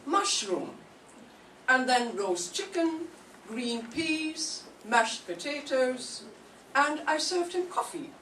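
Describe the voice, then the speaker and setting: An older woman playing a genteel, fretful housewife in an old radio mystery, theatrical and halting. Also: sits high, lively intonation, a bit bright, full character voice. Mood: shocked. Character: genteel housewife hostess, fretful, suddenly widowed. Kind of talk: 1940s radio mystery acting. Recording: roomy, distant mic, faint noise.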